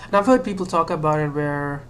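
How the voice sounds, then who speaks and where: Low-voiced woman, hesitant and musing. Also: animated, fairly quick, a little hiss or hum. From Sri Lanka. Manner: hesitant, many fillers and restarts, laughs self-consciously. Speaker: vlogger. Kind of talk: casual personal monologue.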